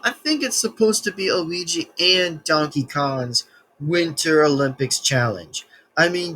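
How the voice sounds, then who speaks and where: A young man in his twenties hosts a casual reaction video, talking in a slightly high voice. He rambles, circles back on himself and trips over words as he reads out loud. A faint hum of room noise sits behind him. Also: low-quality recording. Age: 20s. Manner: rambling, many restarts and stumbles while reading. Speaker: reaction channel host. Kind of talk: casual reaction video, reading text aloud.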